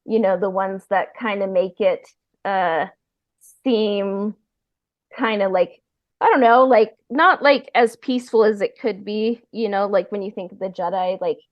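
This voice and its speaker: A woman podcast host with a mid-pitched voice chats casually. She feels her way through sentences, leaning on "like" and "you know". The sound is a dull, lo-fi voice call. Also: measured pace. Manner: hesitant, frequent "like" and "you know". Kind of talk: casual chat.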